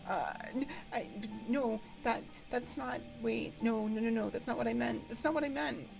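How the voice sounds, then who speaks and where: A woman hosting a casual livestream chat, thinking aloud in a hesitant, halting way into a close microphone. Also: a little high, vocal fry, over music, low-quality recording. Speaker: livestream host. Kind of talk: casual livestream chat, answering viewer comments. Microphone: close binaural microphone.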